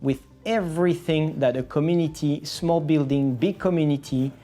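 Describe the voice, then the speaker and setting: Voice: medium pitch, normal speed, warm tone, soft background music. A company presenter explaining a product step by step in a video explainer style. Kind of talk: scripted-sounding product explainer.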